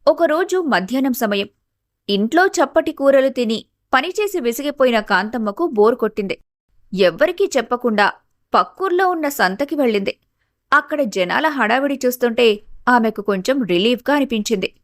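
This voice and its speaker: A woman's voice: a little high, expressive, normal speed, no echo, studio quality. A woman giving scripted narration of a family story.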